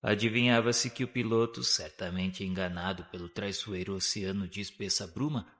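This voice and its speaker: A Brazilian man delivers formal, dramatic narration for a radio novel. His voice sits on the low side. Long hall reverb trails behind his literary, solemn phrasing. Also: a bit bright.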